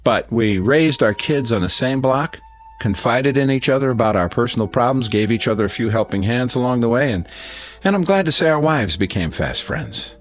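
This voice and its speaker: An American actor playing a genial middle-aged neighbor giving a folksy, hesitant, sentimental toast in a radio drama. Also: male voice, mid-range voice, expressive, normal speed, close to an everyday voice. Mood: warm sentimental. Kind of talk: radio drama acting, party speech.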